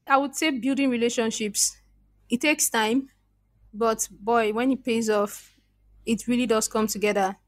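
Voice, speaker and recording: A Nigerian woman speaks as a podcast guest, her voice sitting a little higher than most. She tells her story earnestly and reflectively, often restarting her sentences. The recording is dry and close, with no room sound. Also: steady tone, unhurried, no echo. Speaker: podcast guest, freelance copywriter. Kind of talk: casual interview conversation.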